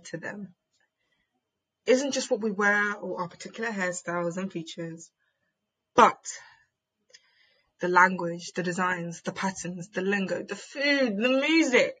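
A young woman performing a spoken word piece in a measured, rhythmic way, then chatting on a podcast. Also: mid-range voice, a bit bright, slightly rough, rough recording. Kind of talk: spoken word reading, then casual discussion.

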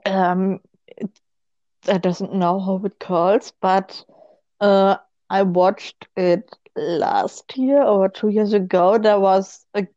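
A woman's voice: medium pitch, steady tone, takes it slowly, warm tone, no echo. A woman, chatting casually and hesitantly in English, searching for words. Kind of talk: casual chat. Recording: dull lo-fi close dry sound.

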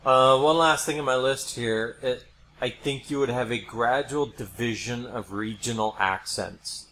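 A noisy amateur podcast recording of a middle-aged American man. He talks casually as a co-host, spinning out hypothetical ideas at a mid-range pitch. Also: measured pace, fairly bright tone. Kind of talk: casual speculative chat. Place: home studio. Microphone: podcast microphone.

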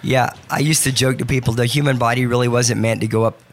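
A man who performs as a guest on a radio show, his voice lowered by allergies, talking in a chatty, enthusiastic way. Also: fairly even intonation, fast. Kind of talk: casual radio interview. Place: radio studio.

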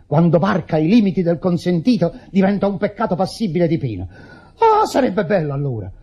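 An actor playing a guilt-ridden, tormented widower, declaiming with anguish in a melodramatic radio play. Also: male voice, high voice, sing-song, brisk, warm tone, breathy edge, full character voice. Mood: indignant, accusing. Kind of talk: theatrical Italian radio drama acting. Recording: muffled old radio broadcast recording.

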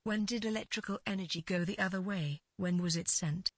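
A woman with a husky voice narrating literary fiction as a scripted read, in deliberate phrases with pauses. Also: medium pitch, no room sound, studio quality.

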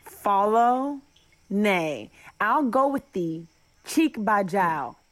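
A woman's voice: medium pitch, expressive, extremely slow. A woman acting a proud, combative young man in love, challenging in manner, in a Shakespeare radio play. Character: proud, combative young male lover Demetrius.

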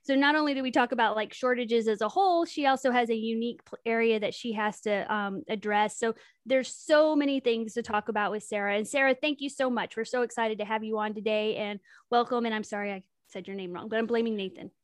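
A woman co-hosting a podcast, chatty and self-deprecating, with a light voice she calls high, talking close to a big new microphone. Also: fast, no room sound. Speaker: podcast co-host. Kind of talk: casual chat and guest introduction. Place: home studio in North Texas. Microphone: new large close microphone.

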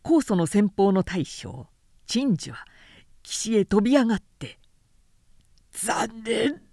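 Slow, expressive woman narrating a historical novel. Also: medium pitch, no echo, studio quality. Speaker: narrator reading literature aloud.

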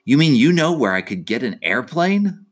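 An earnest, friendly little boy from a children's story, played by a man. He sounds eager and wishful, with very lively intonation. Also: medium pitch, moderate pace, voice pushed up. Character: kind, eager little boy dreaming of flying.

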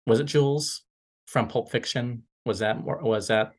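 A man's voice: mid-range voice, measured pace. A man discussing films casually, circling back over his phrases as he thinks aloud. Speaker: podcast panelist.

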